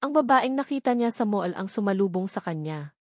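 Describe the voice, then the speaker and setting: A woman's voice: medium pitch, animated, fairly quick, a little mellow, dry recording. Filipino woman narrating drama, dry close sound. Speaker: story narrator. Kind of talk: dramatic scripted narration of a romance story.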